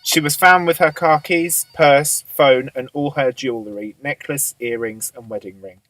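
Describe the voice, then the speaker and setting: A man's voice: mid-range voice, fairly bright tone, no room sound, natural voice, rough recording. Man acting a businesslike police boss, dry sound. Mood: matter-of-fact. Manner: measured, matter-of-fact, authoritative. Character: businesslike male police boss briefing his detectives. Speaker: actor in a radio drama. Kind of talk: amateur radio drama acting.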